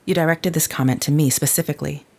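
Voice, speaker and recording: An actor playing a grave, otherworldly telepathic parent in an audio drama, speaking in measured, formal words. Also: male voice, a little high, steady tone, gravelly, a little mellow. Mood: probing. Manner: stilted, formal, measured wording.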